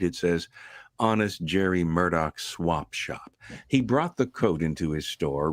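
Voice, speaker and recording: A man narrating a vintage-style radio drama as a grave storyteller, with dramatic, deliberate emphasis. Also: a bass voice, no echo. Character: grave, suspense-building male storyteller.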